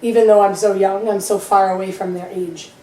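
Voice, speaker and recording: Mellow-voiced young woman teacher guest. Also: medium pitch, steady tone, brisk, reverberant, recorded from a distance, rough recording. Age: 20s. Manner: laughs easily, self-deprecating, rambling. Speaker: guest, middle school math teacher. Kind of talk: casual chat.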